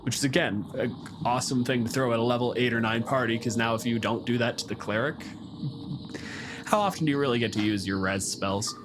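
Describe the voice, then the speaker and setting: A man's voice: mid-range voice, a little fast, background noise. A man casually discussing tabletop games, explaining in a wry, sweary way.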